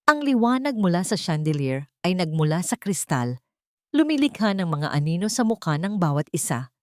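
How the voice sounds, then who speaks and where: A woman with a Filipino accent narrates a dramatic audio story. Her voice sits a little low, and the recording is dry and close, with little room sound. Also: very animated, normal speed, a little mellow, no echo.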